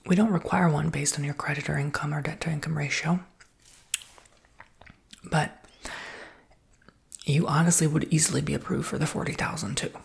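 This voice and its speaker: A woman whispering in a breathy voice with a creaky undertone, giving casual, reassuring sales patter as an ASMR roleplay. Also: deep voice, fairly even intonation, moderate pace, long pauses.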